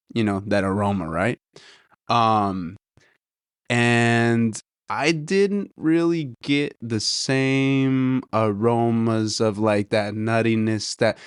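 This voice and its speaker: A man's voice: on the low side, clear, resonant tone, dry recording, clean studio sound. A man hosting a podcast, chatting casually and rambling as he reviews a coffee, a little nasal, saying "dude" and "you know" often. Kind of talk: casual solo chat.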